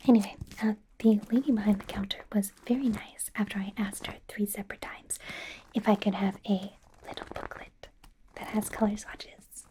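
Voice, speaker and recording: A woman's voice: medium pitch, fairly even intonation, normal speed, noisy background, close to an everyday voice. A woman playing a bubbly, devoted young K-pop idol and stylist in an ASMR roleplay, whispering in a gushing, rambling, playful way. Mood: chatty, admiring. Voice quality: breathy whisper.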